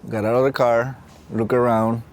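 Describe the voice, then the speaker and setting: A man in his late thirties with a Mexican accent, telling his own story in a halting, reflective way. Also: medium pitch, little pitch movement, slight background noise. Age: late 30s. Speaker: facilities and safety technician and staff trainer. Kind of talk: reflective personal storytelling for a training film. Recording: soft plucked guitar music behind. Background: guitar music.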